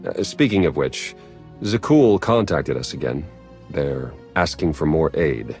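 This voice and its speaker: A man's voice: very deep voice, animated, unhurried, vocal fry, darkish tone, full character voice. An actor playing a wry, loyal male intelligence officer in a sci-fi game, casual and quippy with his commander. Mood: upbeat, assured. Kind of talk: cinematic video game acting. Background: music.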